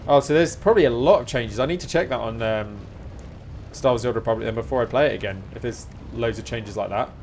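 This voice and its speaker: A man's voice: mid-range voice, quick pace, a little mellow, a little hiss or hum. A man with a British accent streaming a game, giving casual, chatty commentary and reacting aloud as he goes. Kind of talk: casual live gameplay commentary.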